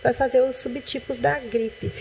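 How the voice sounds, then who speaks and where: Fairly high-voiced Brazilian woman doctor. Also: unhurried, vocal fry, a little hiss or hum, low-quality recording. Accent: Brazilian Portuguese. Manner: calm, explanatory, with frequent restarts and "né". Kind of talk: explaining on a TV health interview. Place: TV studio.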